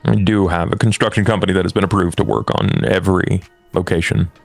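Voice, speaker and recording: Man playing a low, gravelly, precise investigator. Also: steady tone, soft background music. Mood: measured, formal. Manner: precise, formal, deliberate. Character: formal, measured male vampire investigator laying out findings.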